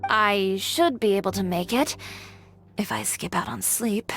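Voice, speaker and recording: An actress playing a confident, determined young woman fashion designer in a video game, composed and brisk. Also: medium pitch, vocal fry. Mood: composed, practical. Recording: music behind the voice. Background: music.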